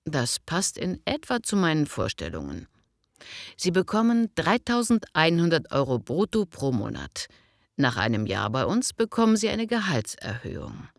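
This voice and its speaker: A woman's voice: deep voice, huge pitch swings, normal speed, gravelly, dry recording, studio quality, exaggerated voice. A woman acting a friendly, frank boss interviewing an applicant in a scripted language lesson, cordial and businesslike. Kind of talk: scripted language-learning dialogue.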